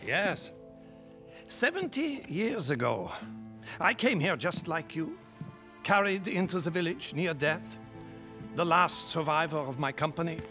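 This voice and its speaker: An actor playing a wise old man, a grave mentor in a video game, speaking formally and with measured weight. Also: fairly high pitch. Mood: grave, intent. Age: older. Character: old male expedition survivor, wise, grave village mentor. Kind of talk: dramatic video game cutscene acting. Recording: clear music under the dialogue.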